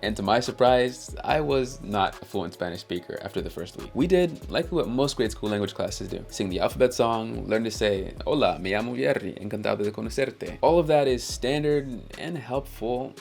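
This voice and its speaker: A man's voice: fairly low pitch, lively intonation, moderate pace, gravelly, few pauses, a little mellow, faint music behind. A young man from Haiti whose first language is Haitian Creole, telling his own story in an earnest, upbeat scripted narration. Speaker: language-learning YouTuber.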